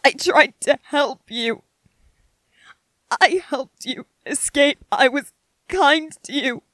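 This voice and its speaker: Anxious, earnest young man, played by a woman, slow and halting, close dry sound, ASMR audio drama. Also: sits high, a bit bright, no echo. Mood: hurt, distraught. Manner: halting, stammering, repeating phrases. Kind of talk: ASMR roleplay audio drama, spoken to the listener.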